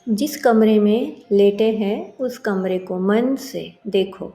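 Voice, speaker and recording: Slow-speaking woman guiding meditation. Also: medium pitch. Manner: soothing, gently instructing, with long pauses. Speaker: yoga nidra meditation instructor. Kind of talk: guided meditation. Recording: roomy echo. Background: soft music.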